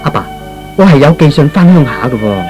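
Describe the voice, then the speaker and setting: A man playing a gentle, remorseful young man in a radio drama, earnest and pleading. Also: a little high, sing-song, a little fast, soft background music. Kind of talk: Cantonese radio drama acting.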